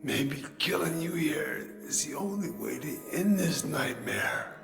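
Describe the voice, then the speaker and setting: A man playing a grim, weary character with a gravelly voice in a video game cutscene. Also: on the high side, lively intonation, unhurried. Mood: grim, subdued. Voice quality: gravelly, creaky. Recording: reverberant hall, music behind.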